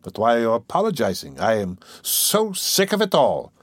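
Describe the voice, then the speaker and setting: A sardonic, brooding young man, played by a male audiobook reader, with a mid-range voice and expressive inflection. He sounds irritable and scheming, in a dry recording. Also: medium pitch, moderate pace, dry recording, lightly acted. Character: irritable, scheming young former law student, mocking and anxious. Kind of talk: dramatised audiobook reading of a classic novel.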